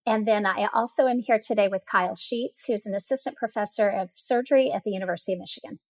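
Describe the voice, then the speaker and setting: A woman's voice: medium pitch, fast talker, no room sound. Female interview host, mid-range voice, dry recording. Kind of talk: podcast interview.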